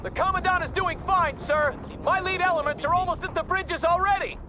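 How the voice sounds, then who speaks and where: An actor playing a brash, cocky army officer talking excitedly by radio in a war video game. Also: male voice, extremely high pitch, brisk, background noise. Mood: brash, urgent. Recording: muffled radio under battle and music. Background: music, artillery, vehicles.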